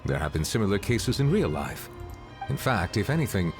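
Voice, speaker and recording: A man voicing a dignified, earnest figure of authority in a video game, formal and measured. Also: on the low side, very animated. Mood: measured, serious. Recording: faint music behind the voice.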